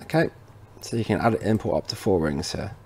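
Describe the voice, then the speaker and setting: A man's voice: fairly low pitch, lively intonation, brisk, a little mellow, slightly rough. A man presenting a how-to tutorial in a casual, informal way, talking straight to viewers. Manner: informal, addresses viewers as "guys". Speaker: tutorial presenter for a tech retailer.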